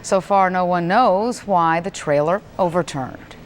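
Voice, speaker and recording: A woman news anchor reading scripted stories in a TV broadcast. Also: a little slow. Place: TV news studio. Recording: faint low background noise, 1990s TV broadcast.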